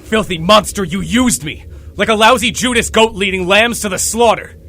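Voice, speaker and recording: A man's voice: high-pitched, moderate pace, pushed and bright, slight background noise. A man playing an earnest superhero team leader in an audio drama, barking urgent orders. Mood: outraged.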